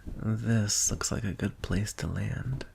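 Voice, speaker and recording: A male dragon, voiced by a man for fantasy ASMR roleplay, smug and coaxing with a soft threat underneath. The voice is gravelly and fairly low, drawn out very slowly. There is some noise behind it. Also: fairly even intonation, heavily acted. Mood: gentle, playful. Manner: slow, coaxing, softly threatening. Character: teasing, coaxing, smugly menacing male dragon.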